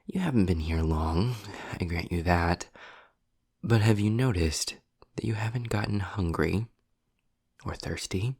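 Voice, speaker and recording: A man playing a sardonic, world-weary demon in an intimate roleplay audio drama, deliberate and breathy, often dropping toward a whisper. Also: deep voice, darkish tone, exaggerated voice. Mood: grim, explaining. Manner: sarcastic, deliberate, sighing.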